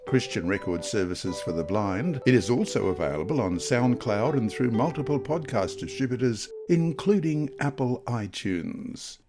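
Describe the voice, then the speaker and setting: A man from Australia reading a scripted devotional lesson and scripture aloud, with measured, emphatic phrasing. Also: on the low side. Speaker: lesson reader.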